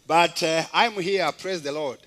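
A man in his fifties from Rwanda, a guest preacher giving a testimony sermon in a halting way, with frequent fillers and gentle humor. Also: on the high side, noisy background.

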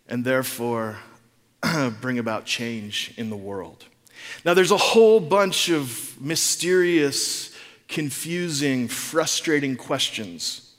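A man's voice: mid-range voice, fairly bright tone. A middle-aged man preaching as a pastor in a conversational, reflective sermon style, informal and often posing rhetorical questions. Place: large reverberant room, speaking to a congregation. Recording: long reverb of a large room.

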